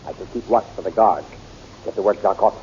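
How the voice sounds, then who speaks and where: An actor playing a calm, steady nobleman with a measured delivery, on a muffled old radio recording. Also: fairly low pitch, a little hiss or hum, lightly acted. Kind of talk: 1930s radio drama acting.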